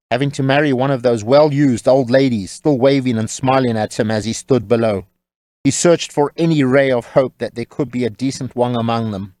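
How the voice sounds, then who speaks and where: A man's voice: mid-range voice, darkish tone, no echo. Wry male storyteller, dry close sound. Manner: wry, tongue-in-cheek storytelling. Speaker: narrator. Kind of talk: scripted storybook narration.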